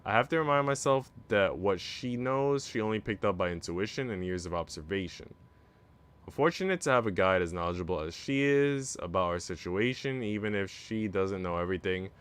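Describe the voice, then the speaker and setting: A man's voice: lowish voice, lively intonation, a little fast, fairly bright tone, clear, resonant tone. A man reading a visual novel aloud as a streamer, narrating the story in the first person.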